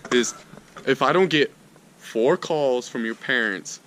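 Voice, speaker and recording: A man's voice: mid-range voice, slow pace, a bit bright. A young American man telling a story casually and slangily to his friends on a noisy amateur video.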